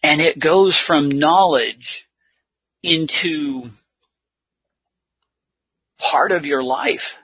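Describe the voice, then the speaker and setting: A man preaching and teaching a Bible lesson, speaking in deliberate, earnest short phrases with a husky, gravelly voice. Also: on the high side, no room sound. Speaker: preacher leading a church lesson. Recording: muffled lo-fi audio.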